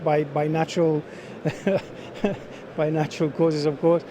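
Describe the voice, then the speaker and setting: Middle-aged male interviewee, slightly high voice, halting delivery, trade show bustle behind. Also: flat delivery. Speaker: sales manager being interviewed at a trade show. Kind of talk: unscripted interview answers. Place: busy exhibition hall. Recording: busy trade show crowd noise.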